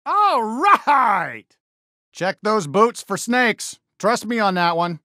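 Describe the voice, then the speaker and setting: A man voicing a friendly, earnest, excitable cartoon cowboy sheriff for a video game, with an American accent and a folksy drawl full of cowboy slang. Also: sits high, slow pace, no room sound, clean studio sound, full character voice. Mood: cheerful, joking. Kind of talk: animated game character voice lines.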